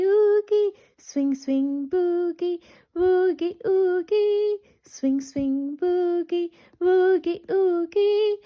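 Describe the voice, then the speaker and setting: A woman's voice: extremely high pitch, muffled recording. A woman doing an interactive, sing-song read-aloud of a children's picture book, with repetitive, questioning delivery for young children. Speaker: children's storytime reader.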